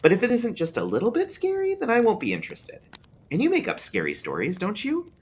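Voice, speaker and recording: Man voicing precocious little boy, swooping high pitch. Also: wildly swooping pitch, husky, full character voice. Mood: thoughtful. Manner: earnest, matter-of-fact, pausing thoughtfully. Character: precocious, serious young boy, a bit scared. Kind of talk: read-aloud eerie storybook dialogue.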